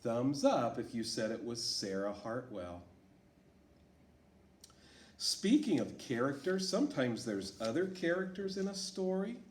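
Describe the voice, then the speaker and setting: A man reading a storybook aloud to children as a teacher, asking them questions in an encouraging way. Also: mid-range voice. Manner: slow, lively, encouraging teacher talk. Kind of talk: read-aloud lesson for children. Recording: echoey room.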